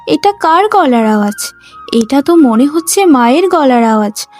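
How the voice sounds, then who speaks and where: A very high voice carries this gentle young daughter-in-law , acted by a woman. She speaks slowly and pleadingly, with sentimental devotion suited to a cartoon family drama. Light music plays behind her. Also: expressive. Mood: anxious. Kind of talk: emotional cartoon story acting.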